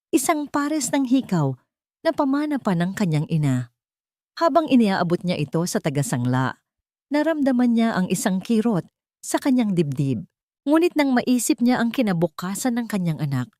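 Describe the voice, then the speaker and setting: A woman with a Filipino accent narrates a scripted drama. Her pitch sits on the low side for a woman, and the sound is very dry, without room echo. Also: dark tone. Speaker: storyteller.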